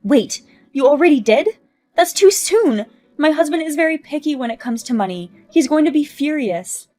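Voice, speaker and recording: A woman doing a shrill, whiny greedy mom. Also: expressive, normal speed, darkish tone. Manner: shrill pleading whine.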